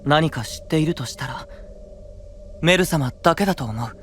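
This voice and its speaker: A man playing a gentle, caring young friend of a knight in a Japanese fantasy voice drama, speaking softly and reassuringly. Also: on the high side, lively intonation, moderate pace, a little hiss or hum. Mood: gentle, encouraging.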